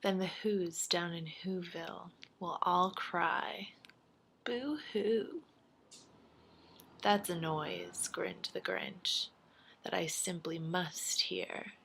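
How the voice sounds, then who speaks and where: A woman's voice: on the low side, extremely slow, gravelly, fairly bright tone, breathy edge. A woman reading a children's storybook aloud.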